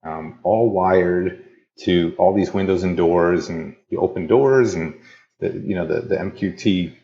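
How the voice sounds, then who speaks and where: A man's voice: low voice, measured pace, creaky, dark tone, lo-fi sound. A man being interviewed on a podcast, telling his story with easy enthusiasm. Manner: enthusiastic, storytelling, laughs easily. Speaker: interview guest. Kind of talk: casual interview chat.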